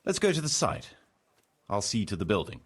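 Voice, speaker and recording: An obliging village steward in a medieval game cutscene. His lowish, husky voice moves expressively as he gives measured appraisals. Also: big pitch swings. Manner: deliberate, reporting, courteous. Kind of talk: video game cutscene acting.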